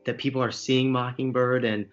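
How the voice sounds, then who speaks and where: A man's voice: medium pitch, flat delivery, normal speed. Stammering man hosting a lo-fi call. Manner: enthusiastic, stammering restarts. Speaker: host. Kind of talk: casual video call interview. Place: home room. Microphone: over-ear headphones with desk mic. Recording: dull lo-fi call audio, faint music.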